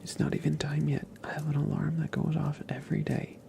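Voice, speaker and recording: A man hosting an ASMR livestream, chatting casually and gently to viewers in a soft breathy whisper, close to the microphone. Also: on the high side, completely flat delivery, brisk, slight background noise. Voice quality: breathy whisper with heavy fry.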